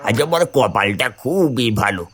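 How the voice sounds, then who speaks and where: A man's voice: medium pitch, lively intonation, moderate pace, a bit bright, lightly acted. A man voicing a sneaky, cowardly livestock thief in a cartoon, repeating himself and stammering out exclamations. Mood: sly, gleeful. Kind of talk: cartoon voice acting.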